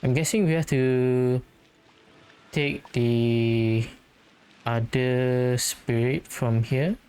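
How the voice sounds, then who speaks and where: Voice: mid-range voice, fairly even intonation, drawn-out words, dark tone, clean tone. A gamer doing casual commentary on their own playthrough, tentatively muttering and thinking aloud. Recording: game music under the voice. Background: video game music.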